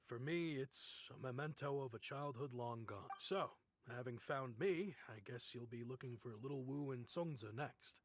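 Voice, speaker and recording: A man's voice: mid-range voice, moderate pace, few pauses, a little hiss or hum, close to an everyday voice. An actor playing a friendly, good-natured businessman in a video game, chatty and a little nostalgic. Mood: genial, nostalgic. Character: friendly self-made businessman, nostalgic about a poor childhood. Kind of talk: video game character acting. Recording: game music behind.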